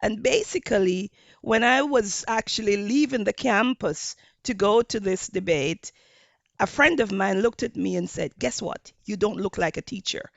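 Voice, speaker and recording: Jamaican woman, gravelly voice, measured interview answers, dry lo-fi recording. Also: medium pitch, no echo. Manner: measured, with frequent fillers and restarts. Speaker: hospitality professor, guest.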